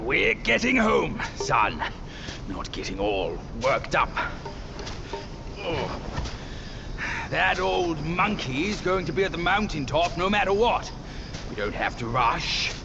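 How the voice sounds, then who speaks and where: High, creaky excitable game rogue. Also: male voice, takes it slowly, long pauses, muffled recording, background noise, heavily acted. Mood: grumbling. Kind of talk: dramatic video game acting.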